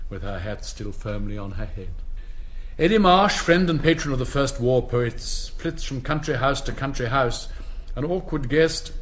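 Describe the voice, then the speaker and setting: An actor playing a nostalgic narrator of old England in a radio play, with a measured, drily witty, literary delivery. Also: male voice, mid-range voice, lightly acted. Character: nostalgic, wry narrator chronicling old England's fading society.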